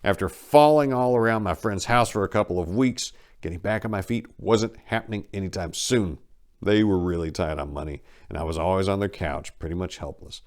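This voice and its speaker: Male storyteller, low-pitched, for dry, wry first-person narration with a casual, conversational host feel. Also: brisk. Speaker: host reading an autobiography aloud. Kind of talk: dramatic first-person audiobook reading with casual asides.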